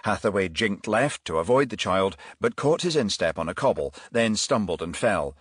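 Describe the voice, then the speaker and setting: A man's voice: on the low side, animated, moderate pace, husky, no room sound, studio quality. A man reading a crime thriller audiobook as scripted narration.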